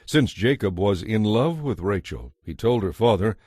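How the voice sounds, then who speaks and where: Male scripture narrator, lower register, dry studio closeness, measured and dramatic scripted delivery. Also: lively intonation, darkish tone, husky, no room sound.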